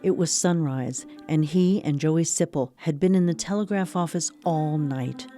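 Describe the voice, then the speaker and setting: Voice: medium pitch, moderate pace, dark tone, soft background music. A narrator with an American accent reading scripted narration for a radio drama.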